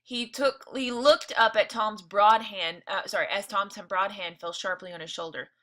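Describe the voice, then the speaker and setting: A woman's voice: mid-range voice, steady tone, normal speed, fairly bright tone. A woman teacher reading a novel aloud expressively, breaking off for informal explanatory asides. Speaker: teacher reading along with listeners.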